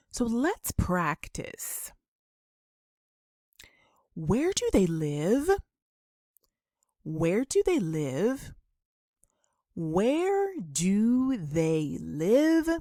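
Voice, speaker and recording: A woman teaching a beginner English lesson, deliberately enunciating and repeating model questions and answers in an instructional way. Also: medium pitch, mellow, no echo, clean studio sound.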